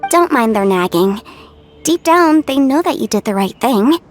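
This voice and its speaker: An actress playing a caring, lively older sister with a brisk way of talking. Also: female voice, on the high side, soft background music, natural voice. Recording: game soundtrack behind.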